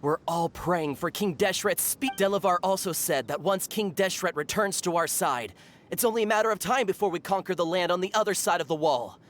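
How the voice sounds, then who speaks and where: A woman's voice: lowish voice, brisk, a little hiss or hum, close to an everyday voice. A woman voicing a frightened, deferential desert townsperson in a video game, nervous and stammering. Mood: fervent.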